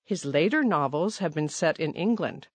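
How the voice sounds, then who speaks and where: Lady reading a test passage slow and careful, dry close mic. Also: low voice, expressive, breathy edge, dry recording. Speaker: exam listening narrator. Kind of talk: scripted narration.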